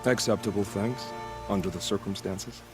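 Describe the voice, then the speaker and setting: Game bandit hunter male actor: low, unhurried, quietly sinister philosopher of killing, over music. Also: close to an everyday voice. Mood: calm, menacing. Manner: soft-spoken, measured, philosophical. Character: calm, menacing male bandit-killer, ex-soldier with a taste for killing. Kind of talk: video game voice acting.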